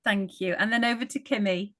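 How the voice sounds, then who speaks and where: A woman's voice: mid-range voice, fairly quick, a bit bright, dry recording. Chatty woman host, dry close sound. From northwest England. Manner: upbeat and chatty. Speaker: ritual host. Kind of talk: online group introductions.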